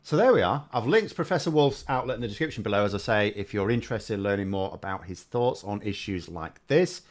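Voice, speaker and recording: A male host with a low voice, asking questions in a relaxed, conversational way. He chips in with short acknowledgements while his guest talks. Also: fast talker. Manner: conversational, frequent brief acknowledgements. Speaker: host, interviewer. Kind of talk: interview and channel sign-off.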